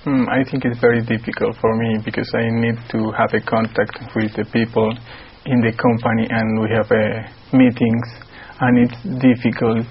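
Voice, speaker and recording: Gravelly flat-voiced Colombian man. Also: mid-range voice, normal speed, few pauses, slight background noise. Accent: Colombian Spanish. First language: Spanish. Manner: hesitant, repeats words. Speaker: exam candidate. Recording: dull lo-fi sound.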